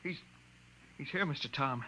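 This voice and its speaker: An actor playing an anxious, deferential subordinate in a 1950s radio drama. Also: male voice, mid-range voice, normal speed, sharp tone, faint music behind, lightly acted. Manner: anxious, obedient. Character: nervous, deferential male office subordinate. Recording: dull, noisy old radio recording.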